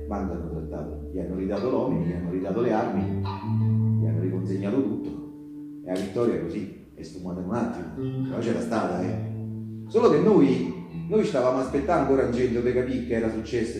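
A male actor playing an ordinary, excitable townsman who recounts a wartime battle in a stage monologue, with a central Italian accent and a colloquial, repetitive way of talking. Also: medium pitch, natural voice. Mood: somber, resigned, then tense, hushed. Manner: conversational, repetitive, with rhetorical tags. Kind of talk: live theatrical monologue in colloquial dialect-tinged speech. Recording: roomy live stage with music. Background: live guitar accompaniment.

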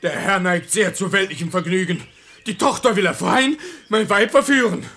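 An actor playing an indignant, gullible husband and master of the house in a German radio play, speaking verse in an agitated, exclamatory, theatrical way. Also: male voice, high voice, expressive, moderate pace, pushed and bright, full character voice.

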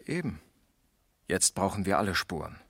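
An actor playing an eager, earnest junior police detective who thinks aloud, in a radio crime drama from the 1970s. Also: male voice, sits low, big pitch swings, normal speed, fairly bright tone, husky, no room sound, studio quality, full character voice. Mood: eager, intent.

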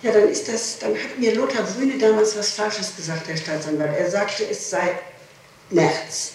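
A middle-aged woman answering questions in an interrogation, speaking haltingly, repeating herself and protesting. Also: mid-range voice, fairly even intonation, darkish tone. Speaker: suspect under interrogation. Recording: echoey, distant, noisy archival 1960s tape.

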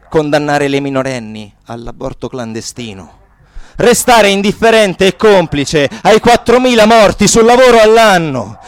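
A man acting in a theatrical radio drama as an anguished young activist, speaking in an intense, declamatory way and repeating phrases. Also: sits high. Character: anguished young male activist searching for a missing friend.

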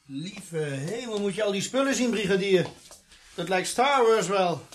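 A man's voice: sits high, lively intonation, a little slow. An actor playing an eager, cheeky junior policeman in a radio play, boyish and deferential to his sergeant. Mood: amazed. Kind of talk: radio drama acting. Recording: clearly noisy old radio play recording.

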